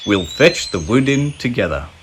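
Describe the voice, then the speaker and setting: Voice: medium pitch, sing-song, moderate pace, a little hiss or hum, lightly acted. A storybook reader voicing a big, kindly grown-up male bear in a patient, reassuring manner. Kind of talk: read-aloud storybook character voice.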